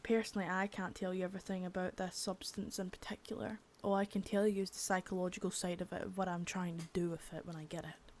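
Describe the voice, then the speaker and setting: A young woman doing an ASMR roleplay as a cold, contemptuous female boss of a drug ring, menacing and swearing, soft-spoken with bits of whisper. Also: lowish voice, little pitch movement, quick pace, few pauses, slight background noise, close to an everyday voice. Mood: cold contempt. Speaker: ASMR creator.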